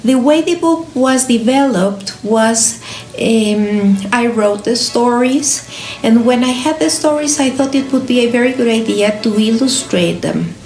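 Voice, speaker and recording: Woman, author talk, reflective, deliberate phrasing, slow pace, roomy echoing sound, music behind. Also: fairly high pitch, a little mellow. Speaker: author presenting own book. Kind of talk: reflective book presentation with short readings.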